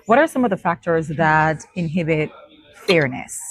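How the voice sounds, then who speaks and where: A woman's voice: sits low, animated, normal speed. A woman hosting a talk show, asking questions and affirming her guest encouragingly. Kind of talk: interview questions.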